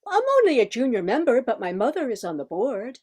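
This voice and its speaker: A woman's voice: a little high, big pitch swings, a little fast, a little mellow, breathy edge. A woman playing a refined, curious professor with a theatrical, arch manner in a comic radio play. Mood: amused, curious. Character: refined, curious woman professor who scorns mundane cheese.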